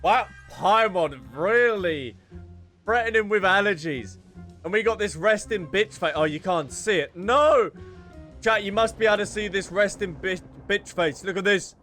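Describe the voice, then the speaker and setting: A man livestreaming a game, reacting in a casual, animated, teasing way into a close microphone. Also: high-pitched, unhurried, faint music behind. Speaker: game streamer reacting live. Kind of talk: excited casual livestream commentary. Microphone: close streaming microphone.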